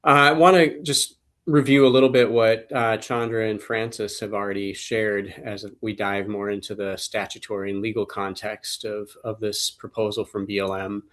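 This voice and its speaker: A man with a cold, slightly hoarse, giving a measured legal explanation as a staff attorney in an online presentation, with frequent fillers. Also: lowish voice, flat delivery, a bit bright. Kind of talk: webinar presentation.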